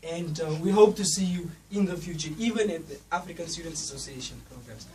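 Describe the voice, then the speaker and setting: Loud young man giving a rousing speech. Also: fairly high pitch, a little fast. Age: young adult. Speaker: student association speaker. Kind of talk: public speech at an event. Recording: distant mic, faint noise.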